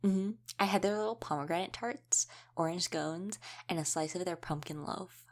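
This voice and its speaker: Girl voicing a laid-back young werewolf woman, lowish voice, chatty audio rp, explains stuff casually. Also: normal speed, lightly acted. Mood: breezy, playful. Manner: chatty, informal, explanatory, with trailing questions. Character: easygoing young female wolf shifter, history student. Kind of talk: casual conversational audio roleplay.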